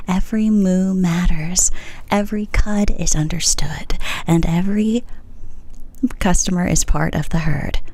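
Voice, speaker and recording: A woman's voice: lowish voice, dark tone, slightly rough. A woman whispering a playful, pun-filled roleplay as a customer service agent.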